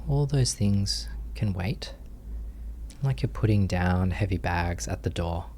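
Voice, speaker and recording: A man leading a guided meditation as a teacher, speaking gently and softly in short phrases with long pauses. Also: sits low, expressive, slight background noise.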